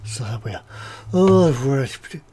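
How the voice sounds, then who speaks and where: A man's voice: mid-range voice, lively intonation, takes it slowly, dark tone, slightly rough. A man with a head cold, sounding snuffly as he chats casually on a live stream, reading out viewers' comments as he builds a scale model. He rambles in a friendly way, with lots of "uh"s and repeated words. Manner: rambling and chatty, with frequent "uh"s and self-repeats.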